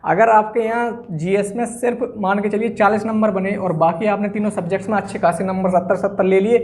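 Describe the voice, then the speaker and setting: A man's voice: high voice, steady tone, brisk, darkish tone, a little hiss or hum. Guy doing an exam coaching explainer, informal, leans hard on key phrases.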